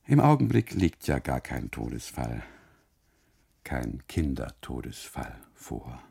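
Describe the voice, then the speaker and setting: A man's voice: extremely low pitch, sing-song, takes it slowly, creaky, dark tone, husky, voice pushed down, exaggerated voice. A man voicing a mocking, leisurely custodian in a literary radio monologue, speaking formally and declaiming without hurry. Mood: coolly persuasive. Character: mocking, leisurely smoking male custodian, coolly expansive.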